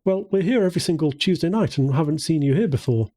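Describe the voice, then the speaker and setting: A man's voice: on the high side, lively intonation, fast, dark tone, pitched above the performer's own voice. A man voicing a friendly, confident male friend in an audiobook, charming and nonchalant.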